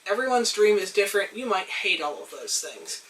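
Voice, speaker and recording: A young woman getting over a cold, her voice a little hoarse, talking thoughtfully to the camera with frequent pauses and ums. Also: medium pitch, very animated, a bit bright, far from the mic, slight background noise, poor audio. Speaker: vlogger. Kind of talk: reflective casual talk to camera. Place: tiny house.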